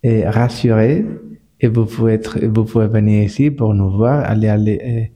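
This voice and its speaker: A man with a Spanish-speaking accent, a spa manager speaking French as a guest on a casual podcast, halting and full of repeated words and restarts. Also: on the low side, steady tone, warm tone.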